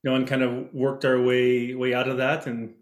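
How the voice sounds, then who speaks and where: A man's voice: mid-range voice, completely flat delivery, moderate pace, roomy sound. A man from the Netherlands, a guest in an interview, talking casually with frequent restarts. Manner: rambling, restarts often.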